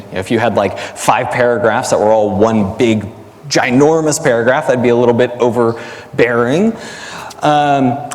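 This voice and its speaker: A male academic answers interview questions in a big reverberant space. His voice sits at an average pitch, and he works through explanations aloud, leaning on everyday examples like a teacher with students. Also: a little mellow. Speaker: academic guest, biblical language scholar and teacher. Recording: echoey hall, long reverb.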